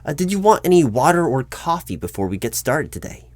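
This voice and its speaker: A man's voice: fairly high pitch, big pitch swings, fairly quick, darkish tone, no room sound. Dry-sounding, formal corporate man interviewer. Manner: formal, wordy, politely corporate. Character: polite, officious male corporate recruiting manager. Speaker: roleplay performer as corporate recruiting manager. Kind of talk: one-sided ASMR job interview roleplay, spoken aloud.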